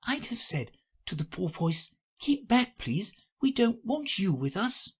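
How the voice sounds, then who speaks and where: A man voicing a polite, curious young girl who speaks with a timid, wondering manner and a husky edge. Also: high-pitched, sing-song, a little slow, voice pushed up, heavily acted.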